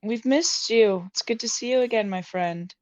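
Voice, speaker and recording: A woman's voice: fairly high pitch, normal speed, dry recording, muffled recording. A young woman chatting casually as a player in a tabletop roleplaying game. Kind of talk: casual tabletop game chat with in-character lines.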